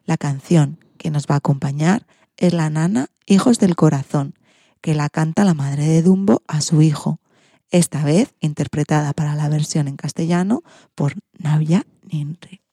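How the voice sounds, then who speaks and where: Radio host, woman, Spain accent. Low, gravelly-edged delivery of scripted segments, dry close sound. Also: normal speed, warm tone, no room sound.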